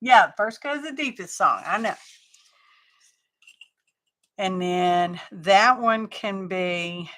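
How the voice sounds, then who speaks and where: A woman's voice: mid-range voice, takes it slowly, long pauses, a bit bright. A middle-aged woman hosting a craft live stream, chatting casually and rambling to her viewers as she works.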